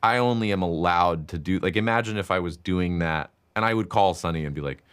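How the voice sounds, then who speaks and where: A young man in his twenties chatting in an interview about making music, talking loudly and animatedly with lots of "like" fillers. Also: sits low, clear, resonant tone. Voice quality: loud voice. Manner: animated, talks in quick bursts with many "like" fillers. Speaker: guest musician and composer. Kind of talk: casual interview chat.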